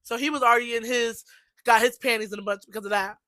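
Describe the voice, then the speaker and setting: A young woman in her twenties telling a personal story in a casual vlog, animated and chatty, full of "like" fillers and laughing asides. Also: fairly high pitch, quick pace, dry recording. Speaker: vlogger.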